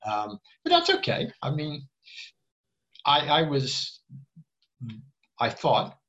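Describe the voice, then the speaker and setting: A man's voice: a little high, wildly swooping pitch, slow pace, bright, forceful tone. An older man giving an interview in a casual, rambling way, often restarting his words. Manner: rambling, repeats words at starts, frank. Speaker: interview guest. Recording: slightly dull lo-fi sound.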